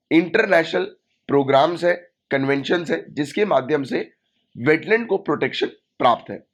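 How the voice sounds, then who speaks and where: A man's voice: on the high side, fairly bright tone. A man with a sore throat, teaching an exam-prep lesson online and repeating key points with a checking "right?". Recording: dull lo-fi recording.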